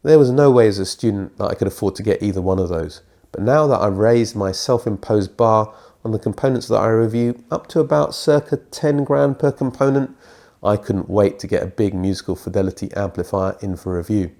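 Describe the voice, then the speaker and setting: A middle-aged British man with a thick, croaky, congested voice from a cold, presenting a scripted hi-fi review with dry, self-deprecating asides. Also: lowish voice, fairly quick, warm tone. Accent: British English. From Britain.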